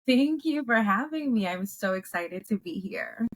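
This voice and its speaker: A woman's voice: on the high side, animated, a little slow, low-quality recording. A woman in her twenties from Haiti, a native French and Creole speaker, chatting casually as a podcast guest. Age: 20s. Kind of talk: casual interview chat.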